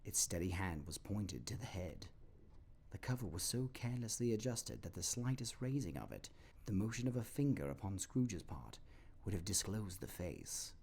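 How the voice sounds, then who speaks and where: A man narrating an audio play as a dramatic storyteller, with ominous, deliberate phrasing. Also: sits low, big pitch swings, a little mellow, breathy edge.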